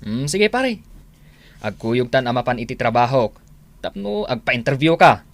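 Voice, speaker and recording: A man's voice: mid-range voice, very animated, normal speed, lightly acted. A man playing a helpful, easygoing friend in a radio comedy drama, with an Ilocano accent. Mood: friendly. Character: helpful, easygoing buddy of the father.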